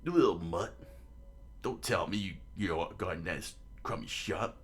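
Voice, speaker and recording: Man as a scornful low-voiced pirate villain. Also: measured pace, fairly bright tone, breathy edge, full character voice. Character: haughty, scornful villain, a pirate lion tamer.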